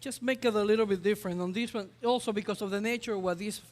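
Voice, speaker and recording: A man talks through a cooking demo, his voice sitting high for a man. He is chatty and halting, filling pauses with uh. The sound rings with long reverb from a festival hall. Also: steady tone. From Ecuador. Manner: chatty, hesitant with many uh fillers. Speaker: chef. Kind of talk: live cooking demonstration.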